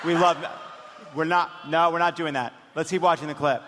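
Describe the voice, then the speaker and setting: A man's voice: a little high, huge pitch swings, measured pace, background noise. A man hosting a live comedic political panel, joking as he explains things. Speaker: podcast host. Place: large hall on stage. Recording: echoey hall, live stage.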